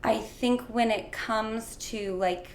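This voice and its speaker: A young woman in casual interview conversation, dreamy and full of "like" fillers, slightly hoarse. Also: a little high, steady tone, takes it slowly. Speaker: guest. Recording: echoey room with faint noise.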